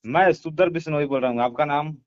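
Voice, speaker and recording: A man's voice: on the high side, a little fast, dry recording. Menacing male caller, muffled phone recording. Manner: menacing, insistent, repeats himself. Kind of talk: confrontational phone call. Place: phone call. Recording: dull lo-fi phone call recording.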